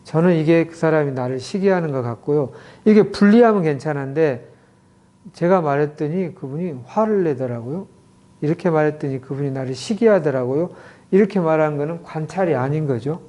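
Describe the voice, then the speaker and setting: Man lecturing, mellow and a bit high. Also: expressive. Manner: explains slowly with many acted example quotes. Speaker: clinic director teaching communication. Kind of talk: lecture to an audience.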